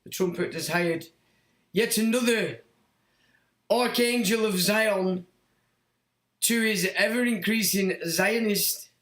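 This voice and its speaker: Croaky man rambling in an echoey room. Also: high-pitched, slow, long pauses, a bit bright. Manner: rambling, sardonic, talks to himself with fillers. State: hoarse, croaky from being sick. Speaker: livestream host. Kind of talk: rambling solo livestream monologue.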